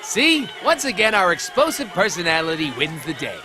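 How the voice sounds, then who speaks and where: Rational inner voice, smug, dry wit, highish, expressive pitch, comic video game, noisy background. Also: male voice, normal speed, crowd noise.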